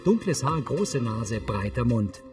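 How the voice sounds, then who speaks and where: A man's voice: mid-range voice, very animated, measured pace. Neutral male narrator over music. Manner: neutral, factual. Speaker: audio description narrator. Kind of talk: scripted narration.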